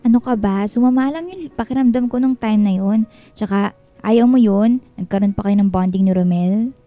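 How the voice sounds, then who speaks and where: A woman's voice: mid-range voice, normal speed, warm tone, dry recording, close to an everyday voice. A woman playing a bossy, blunt, gossipy young friend with a Filipino accent in a radio drama. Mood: coy, exasperated.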